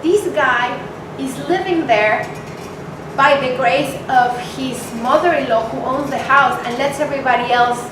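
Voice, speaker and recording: A woman from Mexico, a guest speaker, telling a personal story to an audience in a candid, animated way. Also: high-pitched, measured pace. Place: event hall with audience. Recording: echoey hall, mic from far off, noisy.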